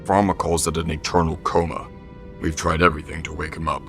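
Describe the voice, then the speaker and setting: A man's voice: a bass voice, flat delivery, brisk, fairly bright tone, faint music behind. A man voicing a weary, blunt robot medic in an audio drama. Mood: resigned, irritated.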